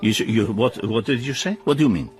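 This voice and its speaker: Actor as a flamboyant, deep-voiced Italian gambler villain. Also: male voice, expressive, fast talker, lightly acted. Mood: needling, suspicious. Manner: effusive, peppered with questioning hums and exclamations. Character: theatrical Italian male gambler, jovial yet needling villain. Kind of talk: radio drama acting.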